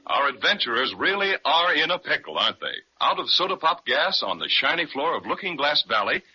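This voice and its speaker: A man's voice: a little high, big pitch swings, fairly quick, sharp tone, a little hiss or hum. A man narrating a 1930s children's radio serial in a playful storytelling manner. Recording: dull old 1930s radio recording.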